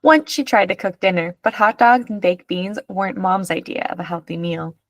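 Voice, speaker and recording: A woman's voice: mid-range voice, normal speed, dry recording. Woman narrating a children's picture book, dry sound. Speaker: read-aloud narrator.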